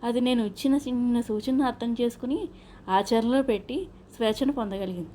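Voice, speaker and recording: Lady doing wise guru in a kids story, mellow, teachy n a bit scolding, faint noise. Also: a little high, brisk, lightly acted. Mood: knowing, admonishing. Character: wise male religious guru, knowing and admonishing. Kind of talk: children's storybook character voice.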